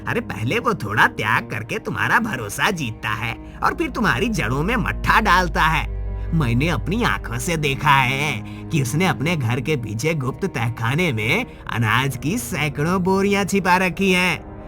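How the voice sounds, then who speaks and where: A man playing a scheming village moneylender in a Hindi moral cartoon, forceful, insinuating and rabble-rousing. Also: fairly high pitch.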